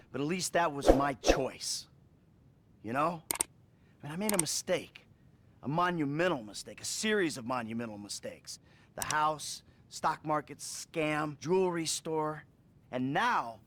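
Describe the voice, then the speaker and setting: An actor playing a troubled, self-loathing middle-aged American criminal confessing in halting, sweary talk in a game cutscene. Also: male voice, a little high, fairly bright tone, slight background noise. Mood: bitter, defensive. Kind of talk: video game cutscene acting.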